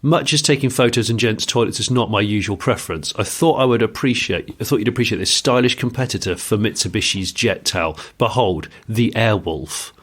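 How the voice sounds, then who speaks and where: A man with a quiet voice, co-hosting a podcast, with dry asides as he reads listener emails aloud. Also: fairly low pitch, a little fast, few pauses, slightly rough. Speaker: podcast co-host. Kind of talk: casual chat.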